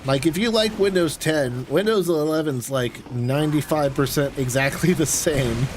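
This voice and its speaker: A man's voice: a little high, normal speed. Man chatting casually over noisy gameplay. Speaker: streamer. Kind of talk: casual chat while gaming. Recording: clear background game noise. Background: video game sounds.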